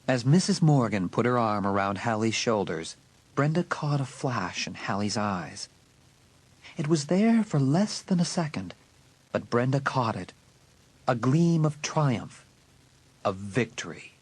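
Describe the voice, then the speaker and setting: A man narrates a suspense audiobook with dramatic flair. His mid-pitched voice is husky and moves with very lively intonation. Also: measured pace, rough recording. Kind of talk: dramatic scripted narration of a teen thriller.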